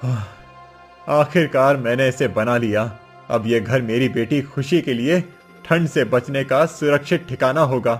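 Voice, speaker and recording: A man's voice: medium pitch, measured pace, close to an everyday voice. A man playing a poor, determined village father in a cartoon story, speaking in an earnest, declaiming way. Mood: proud, tender. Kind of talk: cartoon story character acting. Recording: music behind the voice.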